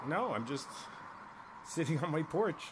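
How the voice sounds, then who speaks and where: A man playing an easygoing, friendly older neighbor in a conversational ASMR roleplay, speaking thoughtfully with hesitant pauses. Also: a little high, big pitch swings, noisy background. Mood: curious, welcoming. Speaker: voice actor in an audio roleplay. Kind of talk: ASMR roleplay, one side of a casual conversation. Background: outdoor ambience with birds.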